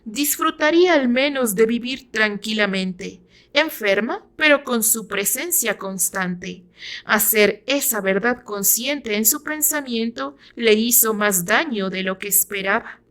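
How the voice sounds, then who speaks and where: A woman's voice: a little high, animated, measured pace, a bit bright. A woman with a Mexican accent reading her own novel aloud as dramatic narration.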